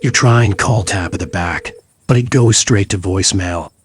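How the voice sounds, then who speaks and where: A man with a very deep voice reads scripted story narration for a visual novel. There is faint noise behind him. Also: normal speed.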